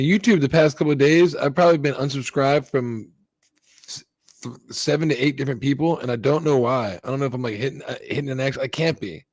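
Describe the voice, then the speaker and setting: A man's voice: medium pitch, no room sound. A man chatting casually on a live stream, rambling and swearing. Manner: fast, profane, rambling complaints. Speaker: stream host.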